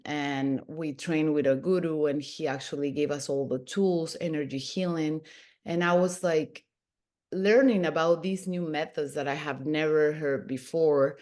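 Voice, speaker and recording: A woman's voice: deep voice, darkish tone. A woman in her thirties from Peru, a podcast guest telling her own story in an earnest way, often restarting her sentences. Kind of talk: casual interview.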